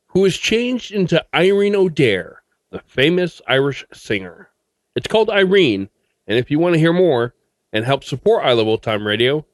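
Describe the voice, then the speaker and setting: A man's voice: on the high side, no echo. A male podcast host giving a chatty, informative introduction, sometimes hesitant.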